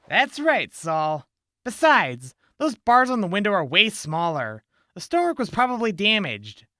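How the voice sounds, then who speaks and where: A man's voice: high-pitched, very animated, a little slow, bright, forceful tone, dry recording, clean studio sound, full character voice. A man playing a brash, scornful jail guard in an audio drama. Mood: scornful, cocky. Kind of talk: post-apocalyptic audio drama acting.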